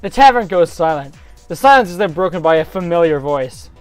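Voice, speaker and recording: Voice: mid-range voice, animated, fairly quick, dry recording, soft background music. A theatrical narrator giving a comedic dramatic reading of a fantasy story.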